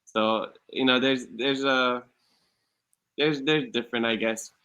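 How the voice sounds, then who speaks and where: A man's voice: medium pitch, fairly even intonation, slow, sharp tone, smooth. A young man from Hawaii whose first language is Hawaiian, talking casually as a podcast guest, full of "you know" fillers and restarts. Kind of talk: casual interview talk.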